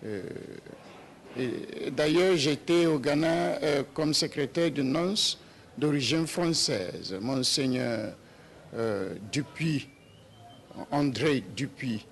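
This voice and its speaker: A man in his sixties from Nigeria, a papal nuncio, answering interview questions slowly with many hesitations and restarts. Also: mid-range voice, animated, slight background noise. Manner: slow and hesitant with many "euh" fillers and restarts. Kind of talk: slow, halting interview answers.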